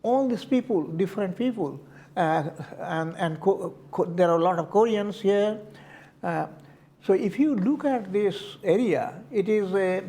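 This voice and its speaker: An older man with an Indian accent, answering interview questions in a halting way, often repeating words as he searches for them. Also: high-pitched, dark tone. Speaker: community board candidate.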